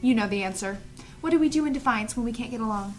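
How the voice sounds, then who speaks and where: A woman's voice: fairly high pitch, fast, darkish tone, faint music behind, close to an everyday voice. A woman playing a strict, self-righteous trainer of children in an audio drama table read, stern and lecturing.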